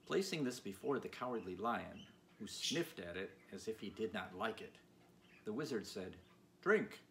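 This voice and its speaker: A man's voice: lowish voice, animated, normal speed. A man reading a children's storybook aloud as narrator. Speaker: audiobook reader. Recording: clear background noise.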